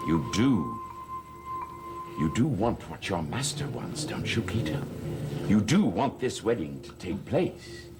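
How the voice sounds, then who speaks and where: A scheming plotter talks low and insinuating, his voice sweeping widely up and down. Loud music plays under him on an old television soundtrack. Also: big pitch swings, slow pace, heavily acted. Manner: insinuating, wheedling. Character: scheming man plotting with a servant. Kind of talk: 1960s soap opera acting.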